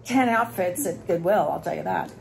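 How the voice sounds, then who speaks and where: A woman in her fifties filming a casual vlog on a phone at arm's length, gushing with excitement as she walks through an expo hall and chats in a hotel room. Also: lowish voice, moderate pace, vocal fry, a crowd in the background. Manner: enthusiastic, gushing exclamations. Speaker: sewing vlogger.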